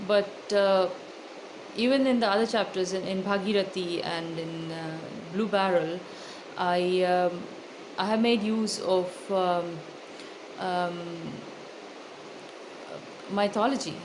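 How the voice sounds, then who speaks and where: Indian-accented woman, low voice, noisy recording. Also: steady tone, slow pace. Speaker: author being interviewed. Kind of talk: thoughtful interview answer. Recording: loud background noise.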